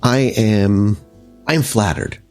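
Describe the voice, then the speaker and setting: A man voicing a composed, courteous vampire woman over a hundred and fifty, speaking formally and with measured reserve in a tabletop game. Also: fairly low pitch, a little mellow, soft background music. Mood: composed, curious.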